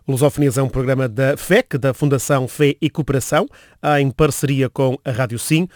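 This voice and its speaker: A man with a European Portuguese accent reads event listings and credits as a radio host, in a measured, formal announcer manner. His voice sits at an ordinary middle pitch for a man. The studio sound is dry and close, with no room echo. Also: fairly even intonation, a little mellow.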